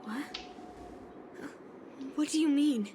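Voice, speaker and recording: A woman's voice: high-pitched, takes it slowly, mellow, a little hiss or hum. A woman voicing a headstrong, blunt young swordswoman in a video game, insistent and stern. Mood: demanding.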